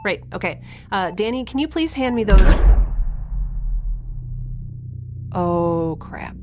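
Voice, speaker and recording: A woman's voice: mid-range voice, expressive, normal speed, music in the background, lightly acted. A woman playing a capable but flustered young spaceship heroine in an audio drama, casual and wry. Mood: alarmed.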